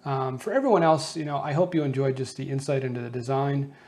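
A man's voice: mid-range voice, fast, darkish tone. Man casually explaining his homemade design. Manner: conversational, unscripted. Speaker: host of a 3D printing show.

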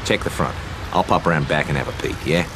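A man's voice: extremely low pitch, fast. An actor voicing a cocky, slangy male mercenary in a game. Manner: slangy, joking. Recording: game audio, noisy.

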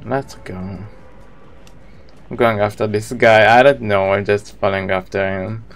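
A man's voice: lowish voice, little pitch movement, measured pace, slight background noise. A man in his thirties with an Israeli accent, a native Hebrew speaker, chatting casually with viewers as he live-streams a video game.

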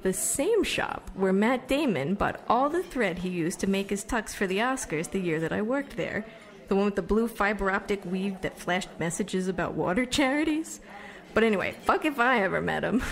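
A woman's voice: mid-range voice, natural voice. An actress playing a chatty, self-deprecating young American woman who wants fame, telling stories on stage in an audio drama. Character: chatty young Somerville woman set on becoming famous. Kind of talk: conversational stage storytelling monologue.

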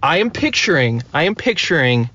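Higher-pitched man, joking podcast host. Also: big pitch swings, normal speed, bright, forceful tone, highs cut off. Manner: teasing, joking. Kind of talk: casual chat, lightning-round questions.

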